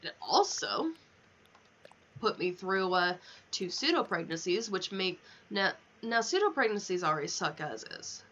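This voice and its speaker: Young female game streamer, lively pitch, candid rambling chat with casual swearing, slightly dull lo-fi mic. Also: a little slow. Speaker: livestreamer.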